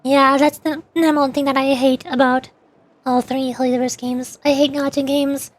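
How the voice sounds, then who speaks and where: A woman's voice: high-pitched, little pitch movement, normal speed. A woman livestreamer chatting casually and playfully about games in a rambling, informal way. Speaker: VTuber streamer.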